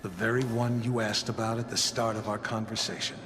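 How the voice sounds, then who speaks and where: An actor playing a composed intelligence chief in a video game, speaking in a measured, knowing way. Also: male voice, lowish voice, little pitch movement, fairly bright tone, a little hiss or hum, close to an everyday voice. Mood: smug, measured. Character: composed male intelligence chief recounting a killing. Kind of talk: video game cutscene acting.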